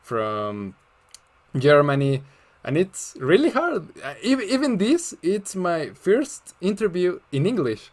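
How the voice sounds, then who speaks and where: A man's voice: high voice, sing-song, slow pace. A man whose first language is Spanish, with a Spanish accent, interviewing in English in a friendly, slightly nervous way. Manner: friendly, nervous, laughs often. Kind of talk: casual interview.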